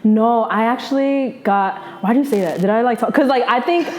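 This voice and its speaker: A young woman in her twenties with a New Jersey accent, a journalist talking about her upbringing in a relaxed, slangy interview, full of "like" and restarts. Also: fairly high pitch, brisk. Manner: relaxed slangy talk, many likes and restarts. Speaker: journalist and podcaster guest. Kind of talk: casual interview chat.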